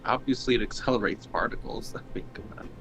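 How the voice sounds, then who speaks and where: A man's voice: fairly low pitch, fast talker, gravelly, rough recording. A man chatting casually as a player in a tabletop roleplaying game. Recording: loud background noise.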